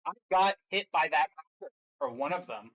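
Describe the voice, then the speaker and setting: A man's voice: a little high, animated, moderate pace, a bit bright. A man co-hosting a reaction video, giving casual, opinionated commentary on game trailers in a wry way.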